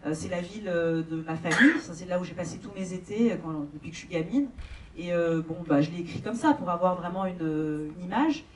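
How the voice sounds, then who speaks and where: A woman's voice: on the low side, a little fast, far from the mic, slight background noise. Hesitant woman director in a cinema hall. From France. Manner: hesitant, many fillers and restarts, repeats questions aloud. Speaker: film director answering audience questions. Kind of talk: casual Q&A after a screening.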